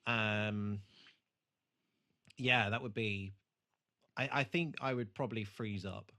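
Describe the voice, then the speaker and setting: A man's voice: on the low side, slow pace, bright, forceful tone. Sweary man chatting casually. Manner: sweary, dry, emphatic. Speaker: podcast co-host. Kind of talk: casual chat, TV re-watch commentary.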